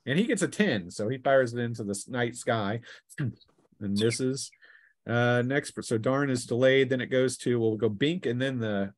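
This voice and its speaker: A man acting as game master, narrating a tabletop role-playing game in a casual, halting way that mixes story with rules talk. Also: medium pitch, dry recording.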